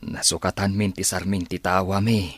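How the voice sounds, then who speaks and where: A man's voice: sits low, level tone, normal speed, fairly bright tone, dry recording. A man playing an aggrieved but forgiving husband in an Ilocano radio drama. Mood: matter-of-fact.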